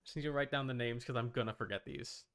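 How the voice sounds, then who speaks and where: A man's voice: mid-range voice, fast, fairly bright tone, clear, resonant tone. Casual man chatting on a livestream. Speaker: streamer reacting to videos.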